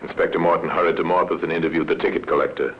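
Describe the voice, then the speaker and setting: Male narrator, very low voice, dramatic, deliberate, old radio, muffled sound. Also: steady tone, slight background noise.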